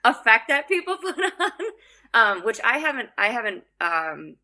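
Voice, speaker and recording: A woman's voice: fairly high pitch, big pitch swings, normal speed, pushed and bright. A young adult woman singer with a Wisconsin accent, chatting casually as a podcast guest, laughing often and acting out quotes.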